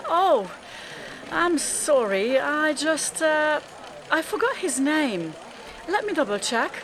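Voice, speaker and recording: A woman's voice: high-pitched, big pitch swings, takes it slowly, noisy background, pitched above the performer's own voice, exaggerated voice. A woman playing a polite, easily flustered professional woman in a radio drama, speaking hesitantly. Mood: flustered, apologetic.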